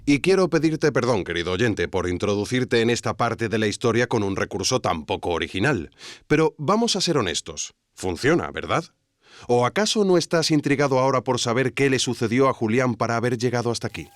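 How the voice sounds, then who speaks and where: A man's voice: mid-range voice, very animated, a little fast, dry recording, studio quality. A man narrating a scripted documentary-style story, wryly addressing the listener directly. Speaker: narrator and host.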